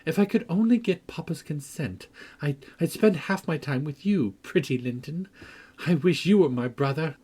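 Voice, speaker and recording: A man's voice: fairly high pitch, animated, normal speed, dark tone, husky, pitched above the performer's own voice. A man voicing a pert, impulsive young girl who speaks with passion. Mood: earnest. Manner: pert, impassioned. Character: impulsive, affectionate young girl, quick-tempered.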